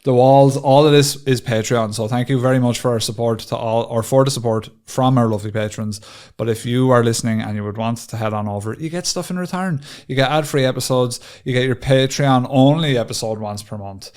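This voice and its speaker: A man's voice: mid-range voice, fairly quick, a little mellow. A man co-hosting a podcast in casual, jokey chat, recorded on a studio mic. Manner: chatty, jokey, plugs the show. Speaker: podcast co-host. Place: home studio.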